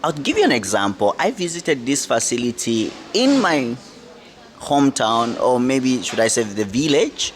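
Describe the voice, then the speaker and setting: A man's voice: mid-range voice, big pitch swings, moderate pace. A man with a Zambian accent, a journalist, telling a long story in an interview answer with lots of fillers. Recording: crowd chatter in the background.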